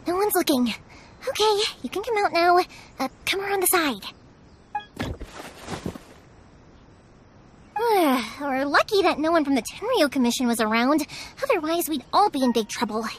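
A woman playing a cheerful, energetic young fireworks maker in a video game, chatty, emphatic and protective. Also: sits high, brisk, slight background noise. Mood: brisk, secretive. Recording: music under the voice.

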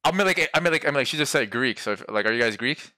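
A man's voice: mid-range voice, lively intonation, rapid-fire, bright, forceful tone, no echo. A young man in his twenties, a streamer chatting with strangers on a headset mic, brash and joking, swearing freely. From Brazilian American. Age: 20s. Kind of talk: casual video chat with strangers. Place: small bedroom.

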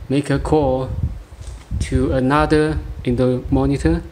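A man demonstrating a product hands-on, speaking in short, halting phrases, recorded indoors on a handheld device. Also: medium pitch, flat delivery, a little mellow, a little hiss or hum. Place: indoor room, beside a tabletop.

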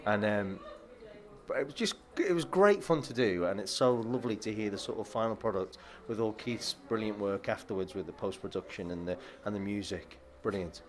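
A man's voice: on the low side, fairly quick. A man, an actor, answering interview questions eagerly, heaping on praise and laughing easily.

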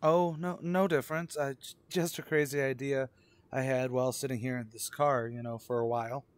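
An actor playing an anxious, obsessive motorist in a radio suspense drama, speaking dramatically and halting when rattled. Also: male voice, mid-range voice, fairly even intonation, dry recording, close to an everyday voice. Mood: awkward, probing. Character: haunted cross-country motorist, man, anxious, increasingly obsessive. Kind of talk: old-time radio suspense drama acting, first-person narration and dialogue.

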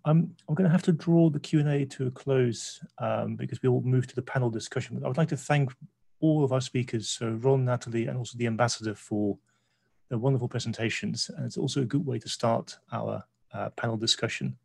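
A man's voice: mid-range voice, fairly quick, gravelly, a little mellow, breathy edge. A man in his forties politely moderating an academic webinar panel on a video call, with frequent hesitations.

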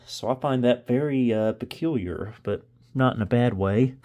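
A man's voice: mid-range voice, normal speed, dark tone. A man giving casual hands-on commentary as a video host, thinking aloud and often unsure. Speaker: host of a vintage computer video channel. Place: indoors in a home room.

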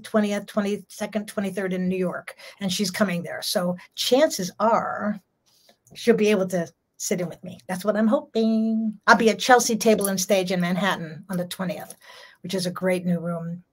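A woman's voice: medium pitch, normal speed. Chatty older woman on a video call. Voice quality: strong voice. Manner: chatty, laughs often, rambling. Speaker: jazz singer, guest. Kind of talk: casual video-call interview. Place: home room.

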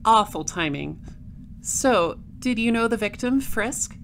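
A woman playing a cool space patrol detective in an audio drama, questioning in a clipped, deadpan way. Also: fairly high pitch, slow pace, gravelly, slight background noise, full character voice. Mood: businesslike. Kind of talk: audio drama dialogue and narration.

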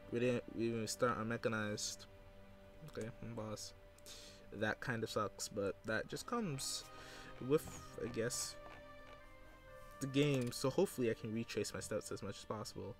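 A man's voice: on the low side, normal speed, soft background music. A man giving casual let's play commentary, rambling and thinking aloud with casual swearing. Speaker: gamer recording a let's play. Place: indoors at a computer.

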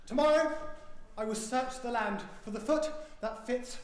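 A woman's voice: on the high side, normal speed, close to an everyday voice. A woman playing a pompous fairytale royal, declaiming on a pantomime stage. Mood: solemn, proclaiming. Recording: distant echoey theatre, faint music.

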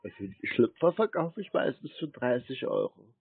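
A man making a prank phone call in a deadpan, naive manner. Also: medium pitch, moderate pace, gravelly, husky, no echo. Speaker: prank caller, scam baiter. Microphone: telephone.

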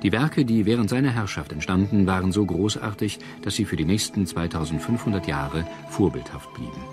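Deep guy narrating a history doc, measured dramatic storytelling, music playing behind him. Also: animated. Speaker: documentary narrator. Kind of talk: scripted history documentary narration. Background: prominent music.

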